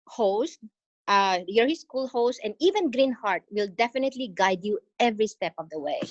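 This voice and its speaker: A woman from the Philippines with a Filipino accent, an exchange teacher giving an earnest, encouraging talk in an online meeting, pausing often. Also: mid-range voice, lively intonation, dry recording, lo-fi sound. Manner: earnest and encouraging, with frequent pauses and fillers. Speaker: exchange teacher sharing her experience. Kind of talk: motivational presentation in an online meeting. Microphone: video call.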